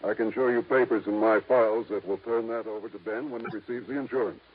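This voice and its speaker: Husky, deliberate old-radio lawyer. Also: male voice, lowish voice, steady tone. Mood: explaining, measured. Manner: deliberate, dry, formal. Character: measured, discreet small-town lawyer guarding a secret. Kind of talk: 1950s radio drama acting. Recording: tinny, narrow-band vintage radio recording.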